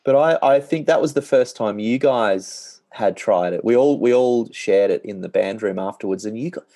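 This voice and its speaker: A man in his thirties with an Australian accent, chatting on a podcast in a slightly low, monotonous, understated voice. His delivery stays flat and unhurried, easygoing and dry. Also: no room sound. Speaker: podcast co-host, musician. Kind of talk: casual chat.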